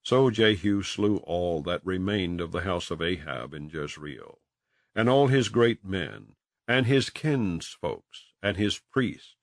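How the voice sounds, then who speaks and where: A man with a deep voice narrates old-fashioned King James scripture. His delivery is solemn and dramatic, moving widely in pitch as he tells the story. The sound is close and dry, with very little room in it. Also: no echo. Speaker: audiobook reader.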